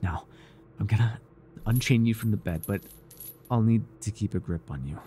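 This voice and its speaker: A man playing a devoted, obsessive cult servant and captor in an intimate ASMR roleplay, speaking reverently and haltingly, with sighs and stammers. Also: fairly low pitch, expressive, warm tone, slight background noise, close to an everyday voice. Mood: coaxing, apologetic.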